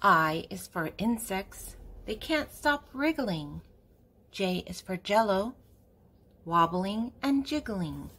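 Older female host for toddler storytime, slightly rough-edged voice, unhurried delivery, simple phrasing. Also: mid-range voice, big pitch swings, a bit bright. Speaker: children's book reader and channel host. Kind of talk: read-aloud of a children's picture book.

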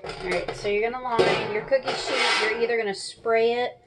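A woman's voice: a little high, fairly even intonation, moderate pace. Chatty woman over noticeable background noise. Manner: chatty, instructional. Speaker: home cooking vlogger. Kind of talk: casual cooking tutorial. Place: small home kitchen, indoors. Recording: clear background noise and clicks.

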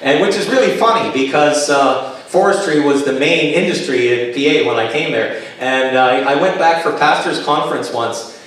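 The sound is very reverberant, picked up from a distance in a chapel. A Canadian man in his late fifties gives a sermon built on personal anecdotes. His voice sits a little high for a man, and his delivery is folksy and joking. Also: moderate pace, a bit bright. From Kitchener, Ontario, Canada. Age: late 50s. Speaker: pastor. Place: chapel, on stage at a pulpit. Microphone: stand mic over speakers, recorded by far camera. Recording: very echoey chapel, distant camera pickup.

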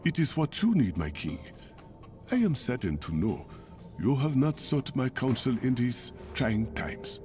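Casting: aged male court shaman for a game, ingratiating and theatrical, very lively intonation, music behind. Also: mid-range voice, measured pace. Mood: wounded, ingratiating. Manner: theatrical, insinuating, formal. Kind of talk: video game cutscene acting.

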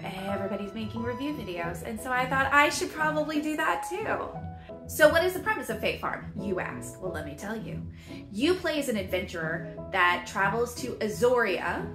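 Woman, 30s, casual game review, animated, chatty, echoey room, background music. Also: mid-range voice. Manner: chatty, animated, laughs often. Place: home living room, seated in a chair.

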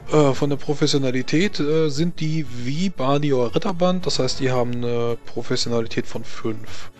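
A man's voice: medium pitch, normal speed, soft background music. A man running a tabletop game, explaining rules and agreeing with his players' plans. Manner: affirming, explains rules plainly. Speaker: game master.

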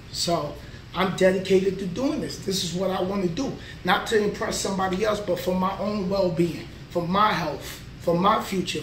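High-voiced man vlogging in an echoey gym. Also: fairly bright tone, recorded from a distance, slight background noise. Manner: motivational, plain-spoken, talks between sets. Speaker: vlogger, trucker. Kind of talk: casual workout vlog. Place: small indoor gym. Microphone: camera mic from a few feet away. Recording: echoey room, voice a bit off mic.

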